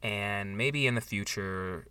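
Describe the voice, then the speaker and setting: A man's voice: fairly low pitch, slow pace, sharp tone, clean tone, no echo, distant mic. A man playing an awkward, sincere writer with a hesitant delivery, co-hosting a book podcast in an improvised audio comedy. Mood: wistful, halting. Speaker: podcast co-host.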